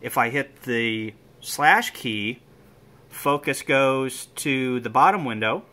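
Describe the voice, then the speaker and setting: Bright-voiced man, unhurried demo. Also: medium pitch. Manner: halting, step-by-step explaining in short phrases. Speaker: amateur radio hobbyist demonstrating equipment. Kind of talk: informal hands-on demonstration. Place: indoor room with equipment on folding tables. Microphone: handheld camera or phone, operator behind it.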